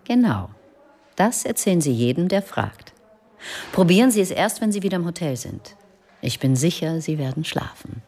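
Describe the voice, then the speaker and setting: An actress playing a friendly, discreet office consultant in a naturalistic radio drama, with a casual, reassuring manner. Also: female voice, lowish voice, very animated, moderate pace, darkish tone, breathy edge, full character voice. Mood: helpful.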